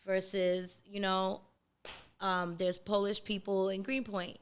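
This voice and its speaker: Clear-voiced Brooklyn woman, streetwise and emphatic. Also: mid-range voice, flat delivery, takes it slowly. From South Brooklyn, New York. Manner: streetwise, emphatic, slangy, swears freely. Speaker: podcast guest, interviewee. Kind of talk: casual interview chat.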